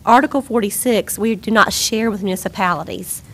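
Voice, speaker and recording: A woman getting over a cold, her voice squeaky, giving a briefing to a county board. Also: a little fast, vocal fry, a bit bright. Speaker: presenter on sales tax. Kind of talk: briefing presentation. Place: county board meeting room.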